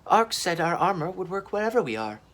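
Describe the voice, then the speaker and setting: A man's voice: high voice, very animated, brisk, a bit bright, voice pushed up. A man voicing an anxious but game young female dinosaur in a children's story, speaking tentatively. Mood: uneasy.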